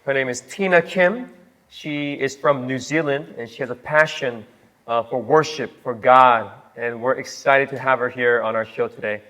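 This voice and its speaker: A man's voice: medium pitch, normal speed, pushed and bright. Male talk-show host, upbeat, chatty, filler-heavy. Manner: upbeat, hype-style, chatty with many fillers. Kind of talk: casual interview hosting.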